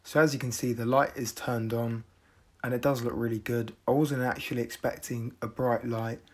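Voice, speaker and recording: A man from the UK narrating a casual product review in a measured, deadpan manner. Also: medium pitch. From United Kingdom.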